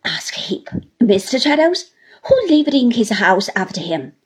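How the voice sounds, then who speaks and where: Audiobook role: pompous middle-aged gentleman read by a woman, florid, formal and accusing, big swooping delivery, husky edge. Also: mid-range voice, sing-song, unhurried. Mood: taunting. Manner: grandiloquent, formal piling up of words.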